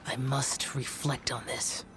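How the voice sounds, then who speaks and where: A man's voice: medium pitch, little pitch movement, slow pace, background noise, lightly acted. A male actor playing a stoic, youthful immortal demon-slayer in an anime video game, speaking in a grave, formal, aloof way. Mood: brooding. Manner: formal, grave, archaic phrasing. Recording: music behind the voice.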